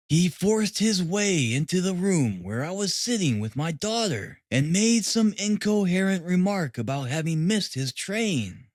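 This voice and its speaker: Man reading as a distressed, high-pitched Victorian mother. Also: no room sound, studio quality. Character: distressed Victorian mother giving her frightened account. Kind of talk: audiobook character reading.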